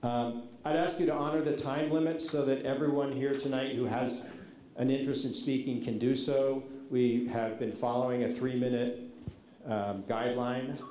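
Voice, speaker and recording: A man runs a community meeting at a podium microphone, politely going over the rules with frequent ums. His voice is mellow and warm. The hall is roomy with faint noise behind. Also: mid-range voice, steady tone, normal speed. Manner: informal, many fillers, polite requests. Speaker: meeting facilitator. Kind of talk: public meeting guidelines. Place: large public meeting hall.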